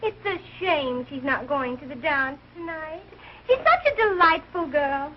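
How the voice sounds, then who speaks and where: Sitcom mean girl: high voice, sugary and scheming manner, muffled 1940s TV recording. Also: animated, measured pace. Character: catty, falsely sweet young female rival. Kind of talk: 1940s live TV sitcom acting. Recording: dull, noisy old TV soundtrack.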